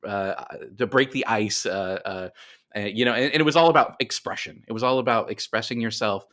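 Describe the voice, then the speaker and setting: A man's voice: lowish voice, fairly quick, a bit bright. An American man in his forties telling stories casually as an interview guest, in a rambling, self-deprecating way. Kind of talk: casual conversational storytelling.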